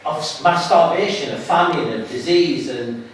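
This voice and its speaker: A middle-aged man with a Lancashire accent speaks earnestly to a gathered audience, often circling back to restart his phrases. He is heard from far off in a very echoey hall, with a faint hiss underneath. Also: fairly high pitch. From England, Lancashire. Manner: earnest, halting with frequent restarts. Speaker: guest speaker. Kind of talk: public talk to an audience. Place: hall at an evening event.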